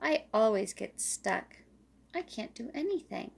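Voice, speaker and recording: A woman voicing an eager, excitable little boy squirrel who exclaims his way through a children's storybook. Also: fairly high pitch, unhurried, vocal fry, husky, heavily acted. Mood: sad, discouraged.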